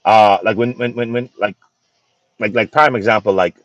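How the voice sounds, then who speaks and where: Man, from the Bahamas, low voice, halting, repeats words, fillers, dry close sound. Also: fairly even intonation, no room sound, muffled recording. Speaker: co-host. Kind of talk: casual podcast chat.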